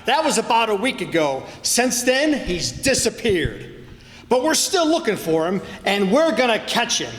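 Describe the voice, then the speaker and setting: An actor playing a sarcastic, exasperated police inspector in an old-time radio crime drama. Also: male voice, high voice, very animated, a little slow. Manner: blustery, sarcastic. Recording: echoey hall stage performance.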